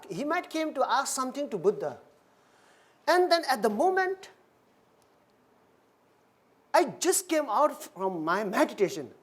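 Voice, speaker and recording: A woman Buddhist minister giving a dharma talk, telling a story in halting non-native English with frequent restarts. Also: medium pitch.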